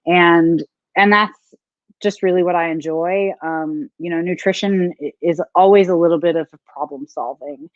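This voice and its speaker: A woman's voice: fairly low pitch, normal speed, dry recording, highs cut off. A woman, a strongwoman and nutrition coach, talking thoughtfully with many fillers on a video call. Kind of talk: casual video call interview.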